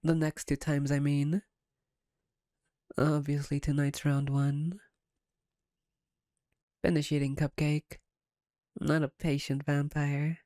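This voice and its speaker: Woman voicing a female vampire captor, low-pitched, very mellow, teasing, sardonic, domineering, intimate ASMR roleplay. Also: level tone, slow, pauses often, no room sound, studio quality. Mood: commanding, teasing.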